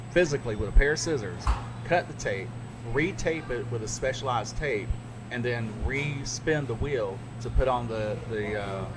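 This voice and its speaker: A middle-aged man, a radio DJ, chatting casually and telling stories with animated asides. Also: mid-range voice. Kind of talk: casual podcast chat. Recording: noisy background.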